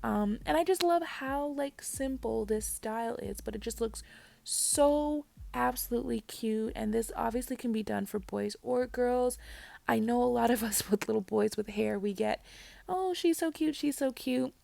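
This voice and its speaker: A woman narrating a hair tutorial voice-over, chatty and talking straight to her viewers, with a raspy voice. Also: a little high, normal speed, darkish tone, dry recording. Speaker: parent vlogger. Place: home.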